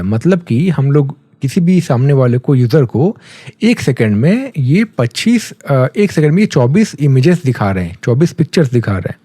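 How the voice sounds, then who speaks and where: Man, Indian accent, soft dark tone, mid pitch, conversational tutorial instructor, step-by-step explaining, English terms mixed in, close dry sound. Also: breathy edge, no echo. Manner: conversational, mixes English terms, often checks in with a tag phrase. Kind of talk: casual screen-recorded tutorial, explaining step by step.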